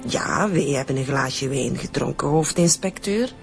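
A woman's voice: a bass voice, animated, measured pace, vocal fry, soft background music, heavily acted. An actress playing a chatty, easily indignant woman giving a colloquial witness statement in a radio crime drama. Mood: explaining, defensive. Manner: talkative, colloquial storytelling.

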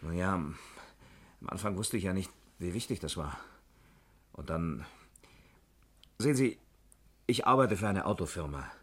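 An employee being questioned in a sixties radio thriller. His voice is very low and rough-edged. He is sheepish and apologetic, explaining himself with hesitation and some defensiveness. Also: lively intonation, moderate pace, pauses often, fairly bright tone, heavily acted. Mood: sheepish, confessing. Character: sheepish, defensive male car company employee under questioning. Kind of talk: 1960s German radio crime drama acting.

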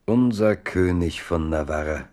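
Deep male narrator, solemn chronicler, scripted radio play, literary, declamatory. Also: takes it slowly, darkish tone. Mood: solemn, stirring.

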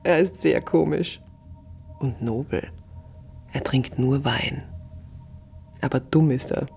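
An actress playing a coarse, teasing village prostitute in a radio drama, with a husky, drawling, chuckling delivery. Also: female voice, low-pitched, mellow, full character voice. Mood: mocking, amused. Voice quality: husky, breathy. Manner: drawling, taunting, breaks into chuckles and half-whispers.

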